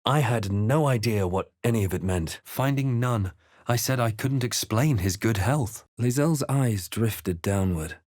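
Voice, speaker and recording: A man playing a composed, wry adventurer hero in a video game, telling events in a measured, literary way. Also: lowish voice, a little mellow, no echo, studio quality. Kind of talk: scripted video game narration and battle lines.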